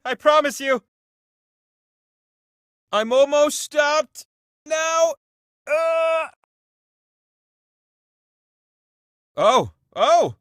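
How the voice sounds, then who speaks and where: A man's voice: very high-pitched, fairly bright tone, smooth, no room sound, clean studio sound, exaggerated voice. A man voicing a chatty, vain living wheel that hosts a comic video game show in a theatrical, quirky way. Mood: teetering suspense. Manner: theatrical, quirky, playfully self-important. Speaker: game host.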